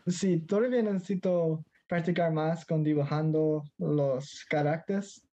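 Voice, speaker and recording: A man's voice: a little high, steady tone, a little slow, dark tone. A teenage boy from Mexico in casual conversation as a guest, hesitant and searching for words, slipping into English now and then. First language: Spanish. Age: teens.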